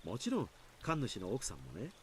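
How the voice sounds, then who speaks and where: An easygoing adult man from a visual novel, reassuring and wordy. He rattles along very quickly with a lively, mobile pitch, over faint background ambience. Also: slight background noise. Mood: explaining. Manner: explanatory, reassuring, long-winded. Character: easygoing adult man calmly explaining away village curse rumours. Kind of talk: Japanese visual novel voice acting.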